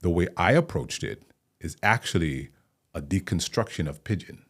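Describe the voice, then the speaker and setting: A man's voice: deep voice, lively intonation, normal speed, husky. A man from Hawaii, a guest actor and playwright, talking thoughtfully in a podcast interview and explaining at length with small restarts.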